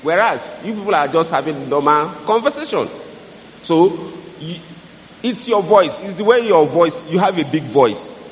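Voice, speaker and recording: A man with a big, loud voice giving advice to an audience, teaching with repeated key phrases. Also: fairly high pitch, lively intonation, normal speed, a little hiss or hum. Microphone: handheld mic. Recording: echoey hall with faint ambience.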